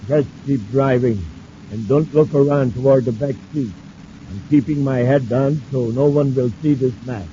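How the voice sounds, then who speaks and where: Very dark-voiced, menacing radio villain male actor. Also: mid-range voice, steady tone, normal speed, close to an everyday voice. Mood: evasive. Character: desperate, menacing office manager turned gunman. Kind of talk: 1950s radio serial acting. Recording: muffled, noisy old radio recording.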